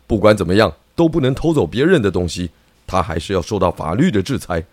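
Deep, husky pig detective. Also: male voice, animated, fast talker, a little mellow, no room sound. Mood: indignant. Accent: Taiwanese. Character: indignant, righteous male pig detective. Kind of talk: children's radio drama.

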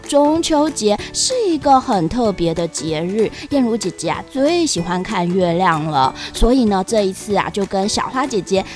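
A woman with a Taiwanese Mandarin accent, hosting a children's story show in a playful, sing-song way with drawn-out particles. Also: mid-range voice, darkish tone. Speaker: storyteller host.

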